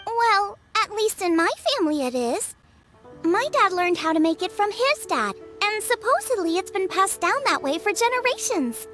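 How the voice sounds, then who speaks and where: A woman's voice: very high-pitched, a bit bright, full character voice. A woman voicing a cheerful, friendly teenage girl cook in a game, chatty and eager. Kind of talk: scripted game voice acting.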